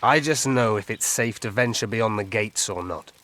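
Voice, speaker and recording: A man's voice: on the low side, moderate pace, fairly bright tone, lightly acted. An actor playing a melancholy, cryptic old elf woodsman in a fantasy video game, musing with a tipsy drawl. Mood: aloof, matter-of-fact.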